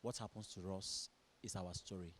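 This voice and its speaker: A man's voice: deep voice, steady tone, normal speed, a bit bright. A man with a Nigerian accent, a theatre director giving earnest interview answers in declarative, repeating phrases. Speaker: stage director and producer. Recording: clear background noise, event ambience.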